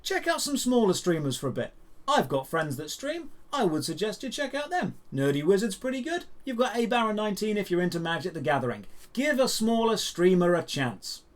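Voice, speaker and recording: A male online news host with a voice pitched a little higher than most men. He delivers geek news as opinionated commentary. His delivery is conversational and emphatic, with rhetorical asides to the viewer. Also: very animated, brisk. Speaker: YouTube host presenting geek and gaming news.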